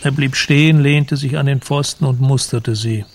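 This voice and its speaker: A man's voice: mid-range voice, steady tone, moderate pace, a little hiss or hum. A man narrating a radio drama in a literary, scripted storytelling style.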